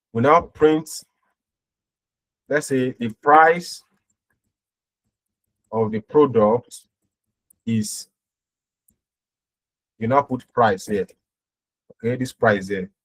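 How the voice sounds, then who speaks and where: A man's voice: medium pitch, extremely slow, pauses often, poor audio. A man giving an informal step-by-step programming tutorial as an instructor, often checking in with short tag words as he explains.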